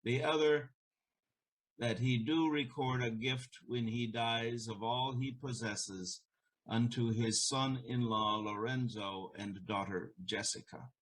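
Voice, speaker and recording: Man playing a solemn old merchant, very slow, mid-pitched, formal, Shakespearean audio drama. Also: fairly even intonation, rough recording. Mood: solemn, measured. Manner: measured, formal. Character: Antonio, solemn elderly gentleman merchant.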